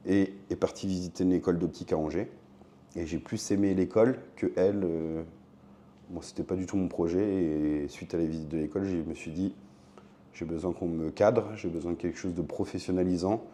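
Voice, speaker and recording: Deep, very dark-voiced man chatting. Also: fairly even intonation, brisk. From Le Mans, France. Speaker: interview guest, optician and shop owner. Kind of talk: casual conversational explaining.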